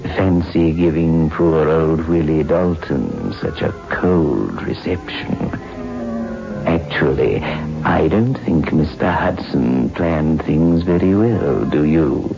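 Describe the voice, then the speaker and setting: Very deep, creaky horror host. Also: male voice, full character voice. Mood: gleefully mocking. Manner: slow, mocking, punning, chuckling. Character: sinister, gleefully macabre male horror show host. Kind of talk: 1960s horror radio hosting. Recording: muffled 1960s radio with music.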